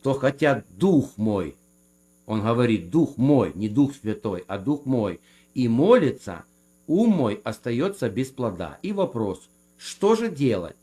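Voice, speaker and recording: A man in a theological debate who speaks in a measured way and quotes scripture to make his case. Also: medium pitch, sing-song, mellow. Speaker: guest defending speaking in tongues.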